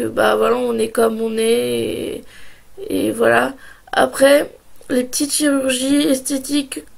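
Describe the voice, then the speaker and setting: A young woman speaking slowly into her camera, her high voice rough and husky from a heavy cold. Her tone is gravelly and full of fry, and she stops and restarts often as she shares her views. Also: darkish tone. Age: 20s. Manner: hesitant, many pauses and restarts. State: hoarse, sore throat and cold. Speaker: vlogger. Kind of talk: casual opinion vlog.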